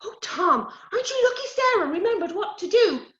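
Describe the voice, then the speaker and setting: A woman voicing a kindly little male hedge sprite who speaks slowly and gently wise. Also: very high voice, sing-song, voice pushed up, heavily acted. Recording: roomy, slightly dull recording.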